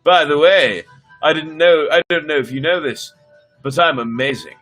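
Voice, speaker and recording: A man's voice: on the high side, moderate pace, a bit bright, faint music behind, rough recording. A man reading stories aloud on a livestream in a theatrical, playful manner, with chatty asides. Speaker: storyteller and livestream host. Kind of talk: dramatic fairy tale reading with chatty asides.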